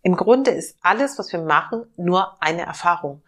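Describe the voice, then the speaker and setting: A woman host giving a reflective self-help talk, slightly hoarse from a cold, gentle and searching with many fillers. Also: lowish voice, expressive, normal speed. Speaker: podcast host.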